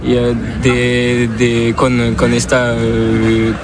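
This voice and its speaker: A young French man speaking Spanish as a second language, halting, with many fillers and restarts, in a street interview. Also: medium pitch, monotone, a loud crowd.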